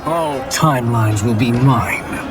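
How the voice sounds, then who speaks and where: An actor playing a menacing, power-hungry villain in a video game, speaking in a deliberate, threatening way. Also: male voice, medium pitch, close to an everyday voice. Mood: menacing, triumphant. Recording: loud cinematic game music behind. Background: loud music.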